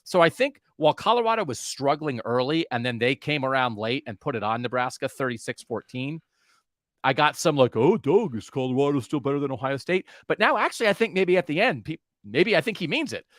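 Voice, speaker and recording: A man hosting a sports podcast, talking casually in an animated way and asking his co-host questions. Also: mid-range voice, a little fast, no room sound, clean studio sound.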